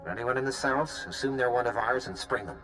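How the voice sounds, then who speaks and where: A man voicing an earnest, hard-pressed field soldier in a video game, brisk and plain-spoken. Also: medium pitch, over music, close to an everyday voice, poor audio.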